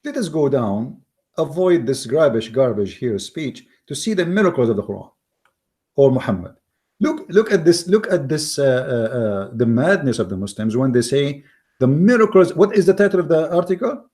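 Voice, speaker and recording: A man with an Arabic accent whose first language is Arabic, giving argumentative commentary in mocking, emphatic English with repeated words and halting restarts. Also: mid-range voice, expressive, a little mellow. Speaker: online commentator. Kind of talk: argumentative commentary reading from an article.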